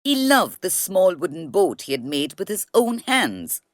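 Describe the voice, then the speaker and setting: A woman narrating a children's storybook read-aloud in a deliberate, expressive storytelling manner. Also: fairly low pitch, dry recording, studio quality.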